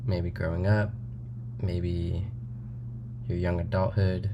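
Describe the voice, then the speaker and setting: A man hosting a podcast, talking casually and haltingly with many fillers. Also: deep voice, level tone, muffled recording, noisy background. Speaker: podcast host. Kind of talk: casual interview conversation.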